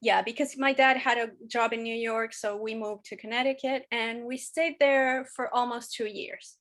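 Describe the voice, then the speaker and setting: A woman's voice: a little high, fairly even intonation, normal speed, a bit bright. A woman from Chile in her thirties, speaking as a podcast guest. She talks candidly and at length, often restarting with "you know". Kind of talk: casual interview conversation.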